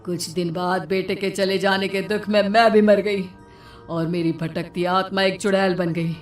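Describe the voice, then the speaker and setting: A woman's voice: medium pitch, fairly even intonation, far from the mic, faint music behind, close to an everyday voice. A woman voicing a vengeful, menacing witch ghost who lures children, in a theatrical cartoon style. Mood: bitter. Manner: menacing, theatrical, drawn-out taunting. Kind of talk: Hindi cartoon story acting.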